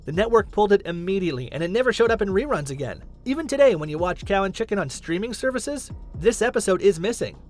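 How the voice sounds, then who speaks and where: A man's voice: high-pitched, sing-song, fast, few pauses. A man reading scripted countdown narration as the host of a list video. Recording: music under the voice. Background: background music.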